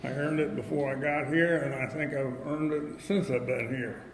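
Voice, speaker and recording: An elderly man from upstate New York tells his recovery story to a meeting in a folksy, halting way, full of fillers and restarts. His voice carries a long hall reverb, with faint noise in the background. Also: medium pitch, steady tone. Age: 80s. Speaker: AA speaker.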